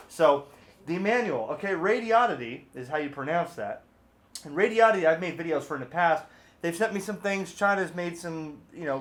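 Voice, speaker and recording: A man hosting a casual, off-the-cuff product review, chatty and informal, with animated, emphatic asides. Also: a little high. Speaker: video host, radio hobbyist reviewer.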